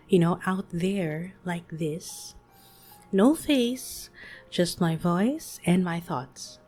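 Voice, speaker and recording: Slow, soothing woman in her late thirties. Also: mid-range voice. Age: 30s. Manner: gentle, soothing, unhurried. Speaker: podcast host. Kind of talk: soft-spoken reflective monologue.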